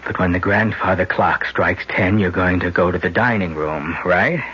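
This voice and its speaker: Low-voiced manipulative young man, coaxing and hypnotic, old radio drama sound. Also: normal speed, sharp tone, breathy edge, heavily acted. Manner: coaxing, hypnotic, later drunk and rattled. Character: scheming young man, twin brother. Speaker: narrator and lead. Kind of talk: 1970s radio drama acting. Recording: dull lo-fi old radio broadcast.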